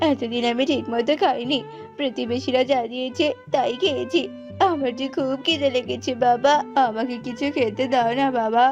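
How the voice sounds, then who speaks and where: A woman's voice: sits high, steady tone, normal speed, darkish tone. A woman voicing a helpless young jackal boy in a whiny, pleading cartoon style. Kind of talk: cartoon voice acting. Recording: muffled, music underneath.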